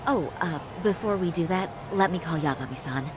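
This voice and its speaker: An actress playing a poised, earnest young attorney in an English-dubbed crime game, speaking in an articulate, formal and firm way. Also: female voice, on the low side, a little fast, noisy background, natural voice. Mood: concerned.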